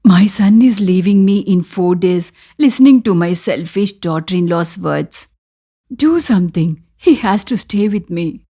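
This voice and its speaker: A woman's voice: mid-range voice, animated, a little slow, mellow, husky, voice pushed down. Woman as a complaining aging Indian mother-in-law. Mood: anxious. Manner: exclamatory, complaining. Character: aging Indian mother-in-law, bitter, nagging but loving.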